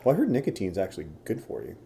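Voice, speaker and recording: Easygoing man, very deep dark voice. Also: wildly swooping pitch, very fast, vocal fry, a little hiss or hum. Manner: easygoing, rambling, swears. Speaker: podcast co-host. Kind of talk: casual chat.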